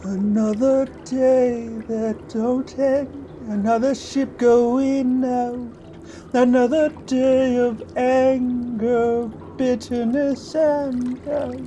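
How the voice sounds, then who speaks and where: A man's voice: level tone, extremely slow, mellow, a little hiss or hum, full character voice. A man voicing a forlorn prisoner who sings thinly in an audio drama. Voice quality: thin, high singing. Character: forlorn prisoner singing deep below a jail.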